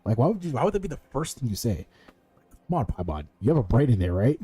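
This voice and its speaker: A streamer with a husky voice doing casual, blunt, teasing gameplay commentary with plenty of swearing. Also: mid-range voice, big pitch swings, fast, over music.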